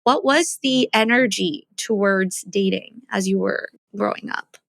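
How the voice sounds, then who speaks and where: A woman's voice: mid-range voice, unhurried, vocal fry, a bit bright, no echo. A young American woman hosting a conversational podcast, thinking aloud in a casual, thoughtful manner. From United States. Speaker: podcast host.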